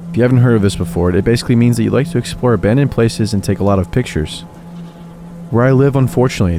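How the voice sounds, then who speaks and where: A man narrating true horror stories in a steady, low-key scripted reading. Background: faint music.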